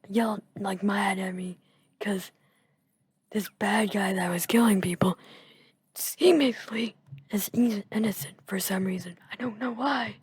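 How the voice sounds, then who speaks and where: A woman's voice: medium pitch, a little hiss or hum. A woman whispering casually while she plays a video game, rambling and musing as she goes. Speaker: video creator. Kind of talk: whispered gameplay chat.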